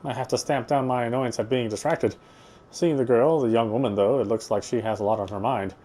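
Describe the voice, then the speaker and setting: Male let's player, medium pitch, reading visual novel narration with offhand commentary. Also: a little fast, dark tone. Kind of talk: read-aloud narration with casual asides.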